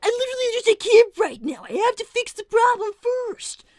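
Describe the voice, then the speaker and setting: Woman as a little cartoon boy fox, extremely high voice, earnest, hesitant, weary, exasperated, dry close sound. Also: very animated, a little fast, a little mellow, no echo. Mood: worried, exasperated. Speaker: character performer on a livestream. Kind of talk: improvised in-character conversation.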